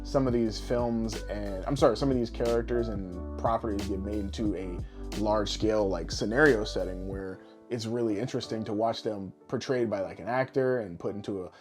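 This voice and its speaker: A man's voice: fairly low pitch, normal speed, a little mellow. A man co-hosting a casual podcast, talking in an emphatic, exasperated and profane way. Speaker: podcast host. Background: guitar music behind the voice.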